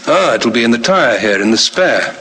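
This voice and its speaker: A faintly noisy old radio crime drama recording. a police lieutenant, businesslike and courteous, with a mid-pitched voice. He works through his case methodically, often thinking aloud. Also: big pitch swings, moderate pace, pushed and bright. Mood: brisk, satisfied.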